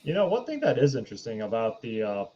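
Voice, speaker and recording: A man co-hosting an unscripted film discussion, explaining his points in a halting, casual way on a dull, lo-fi track. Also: mid-range voice, wildly swooping pitch, dark tone. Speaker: podcast co-host.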